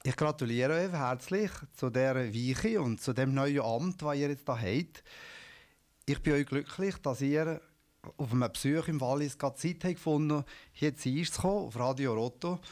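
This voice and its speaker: A man's voice: medium pitch, a little mellow. A man, interviewing a guest on local radio in a formal, polite way.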